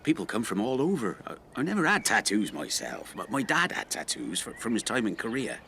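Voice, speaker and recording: An actor playing an awkward, guarded older man with a halting, stammering delivery in a naturalistic radio drama. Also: fairly low pitch, big pitch swings, bright, forceful tone, slight background noise, full character voice. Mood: awkward, friendly.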